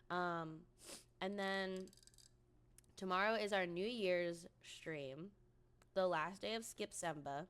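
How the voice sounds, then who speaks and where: A woman's voice: lowish voice, smooth. Chatty woman streamer getting over a cold. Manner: chatty, rambling, many ums and likes. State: recovering from a cold. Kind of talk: casual live-stream chat with viewers.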